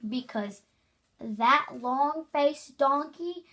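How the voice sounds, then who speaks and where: Casting: child reader, high voice, very slow read-aloud, stumbles on words. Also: expressive, pushed and bright. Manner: halting, stumbles over words. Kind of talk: reading a children's book aloud.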